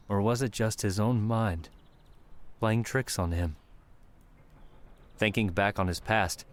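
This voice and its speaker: A man narrating a fiction audiobook in a dramatic, scripted style. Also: deep voice. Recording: background music under the voice.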